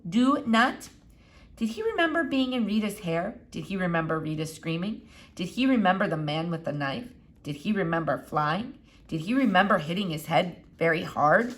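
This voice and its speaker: Lively woman reading a children's story. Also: mid-range voice. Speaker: audiobook reader. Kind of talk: expressive children's story narration.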